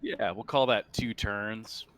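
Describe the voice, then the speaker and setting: A man's voice: fairly low pitch, slow, fairly bright tone. A man narrating a tabletop roleplaying game as game master, improvising the scene.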